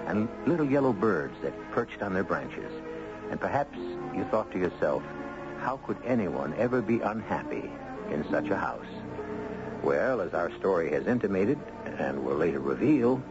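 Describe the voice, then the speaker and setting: A man hosting a 1970s radio mystery series with theatrical, deliberate scripted narration. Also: low voice. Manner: dramatic, deliberate, rhetorical. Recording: muffled 1970s radio broadcast, music behind.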